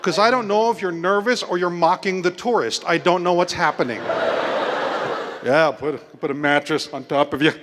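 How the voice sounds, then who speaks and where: A man from Hawaii performing a stand-up comedy routine with a dry, deadpan delivery and wry asides. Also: sits high, moderate pace, slight background noise. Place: comedy club stage. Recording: live club stage with long reverb and audible crowd.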